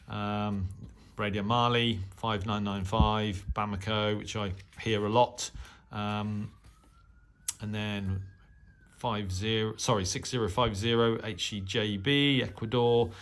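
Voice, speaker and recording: A man's voice: on the low side, clean tone. A middle-aged man with a British accent talks casually and unscripted, in a hesitant, rambling, matter-of-fact way as a hobbyist video host.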